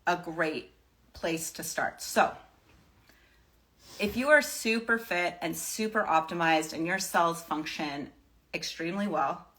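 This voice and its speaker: Lower-pitched woman, casual wellness advice. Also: fairly bright tone. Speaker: live stream host giving wellness advice. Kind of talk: casual off-the-cuff advice to followers.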